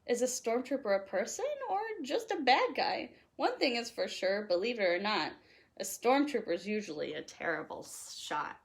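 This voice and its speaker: A woman reading a children's picture book aloud in an animated, playful way, leaning on the rhymes. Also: mid-range voice, normal speed, gravelly. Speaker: storytime host reading aloud.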